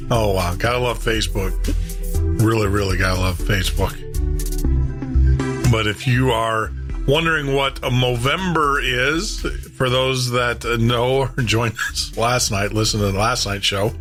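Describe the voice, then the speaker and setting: A man's voice: mid-range voice, unhurried, music in the background. A man hosting a radio show and podcast, talking to listeners in a casual, rambling, chatty monologue.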